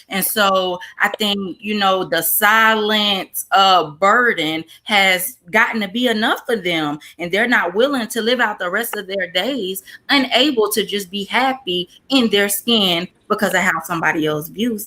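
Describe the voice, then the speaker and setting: A woman's voice: medium pitch, bright, forceful tone. A woman speaking thoughtfully and steadily in a casual group discussion, building on what others have said.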